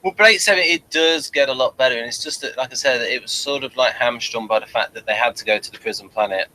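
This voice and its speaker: A man's voice: medium pitch, fast, pushed and bright, poor audio. A man hosting a podcast, explaining things in casual conversation. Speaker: podcast host reviewing TV shows. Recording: noticeable background noise.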